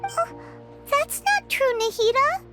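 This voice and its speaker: A woman voicing a small, bubbly, cheeky childlike companion creature in a video game, with a squeaky voice and a friendly, bossy manner. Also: expressive, slow pace, loud music behind the voice, full character voice. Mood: cheery, encouraging. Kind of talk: video game character dialogue.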